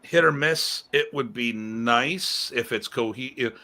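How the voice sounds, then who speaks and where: A man co-hosting a podcast in casual conversation, talking in an animated, emphatic way with casual swearing. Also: mid-range voice, slow. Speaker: podcast co-host.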